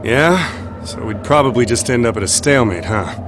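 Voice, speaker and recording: A man's voice: mid-range voice, lively intonation, moderate pace, reverberant, long reverb tail, close to an everyday voice. An actor playing a casual, laid-back young man in a video game cutscene, talking informally. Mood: offhand. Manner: informal, offhand. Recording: clear background noise, engine-like ambience.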